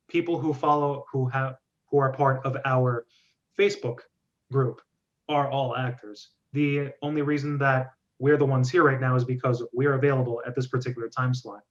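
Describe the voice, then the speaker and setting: A man hosting a group discussion, giving feedback in a wordy, measured, organized way. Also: medium pitch, muffled recording. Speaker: host of a script reading club.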